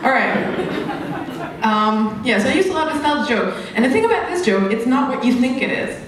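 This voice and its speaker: A woman's voice: on the high side, expressive, brisk, a crowd in the background. A woman doing stand-up comedy as a comedian, with a rambling, giggly delivery that keeps interrupting itself. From Hungary. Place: comedy club stage. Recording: echoey, noisy club room, distant mic.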